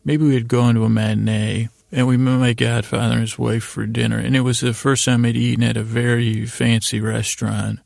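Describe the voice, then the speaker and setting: A man's voice: mid-range voice, flat delivery, brisk, creaky, few pauses, darkish tone, no room sound, studio quality. A middle-aged American man hosting a bedtime podcast, rambling hesitantly in soothing, lulling tones. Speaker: podcast host. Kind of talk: rambling bedtime monologue to help listeners sleep.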